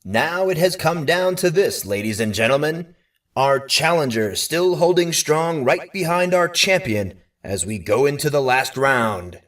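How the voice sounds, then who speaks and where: A man voicing a showy game show host in audio fiction, with a theatrical, drawn-out announcer delivery. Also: a little high, animated, fairly bright tone, far from the mic. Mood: showy, announcing.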